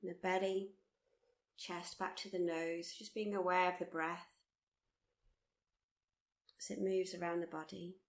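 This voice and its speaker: A woman leads a guided meditation in a slightly low voice. Her intonation stays very flat and steady. She is soothing and unhurried, gently encouraging the listener and circling back over her phrases. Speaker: meditation teacher leading a body scan. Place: live workshop.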